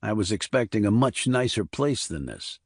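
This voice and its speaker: Man acting army investigator, wry, sardonic, low voice, deadpan, clipped, audiobook dialogue, dry sound. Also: normal speed, no echo.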